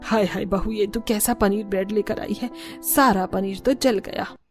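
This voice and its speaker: A woman voicing an outspoken middle-aged mother-in-law in a cartoon story, blunt and fair, quick to scold. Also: mid-range voice, steady tone, soft background music, close to an everyday voice. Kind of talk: Hindi cartoon story dubbing.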